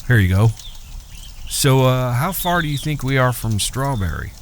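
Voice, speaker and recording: A man's voice: mid-range voice, lively intonation, moderate pace, a little hiss or hum, natural voice. An American man playing a level-headed, dryly teasing cowboy brother in a Western audio drama. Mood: calm, probing. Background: faint crickets.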